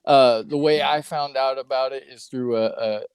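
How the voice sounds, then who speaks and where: A man hosting a casual podcast interview, relaxed and loose, swearing freely and filling his sentences with "uh" and "like.". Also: medium pitch, normal speed, smooth, dry recording, clean studio sound. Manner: laid-back, swears freely, lots of filler words. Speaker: podcast host.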